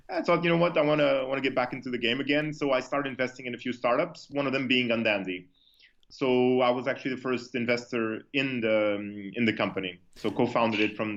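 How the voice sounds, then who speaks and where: This is a man from Portugal giving conversational answers as a business guest in an interview. His voice is mid-pitched. The recording is somewhat dull and lo-fi. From Lisbon, Portugal. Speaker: company co-founder, interview guest. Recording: dull, lo-fi sound.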